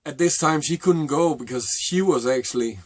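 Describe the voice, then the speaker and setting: A middle-aged man with a Danish accent, a native Danish speaker, telling a personal story to camera in a halting, reflective way. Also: a little high, dry recording. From Denmark. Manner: halting and reflective, with many fillers and restarts. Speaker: vlogger.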